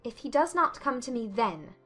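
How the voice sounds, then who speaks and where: A woman's voice: on the high side, very animated, normal speed, a bit bright, faint music behind, pitched above the performer's own voice. A woman voicing a proud, lovelorn young lady in a dramatized period novel, earnest and emotional. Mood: resolute, hurt. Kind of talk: dramatized audiobook dialogue.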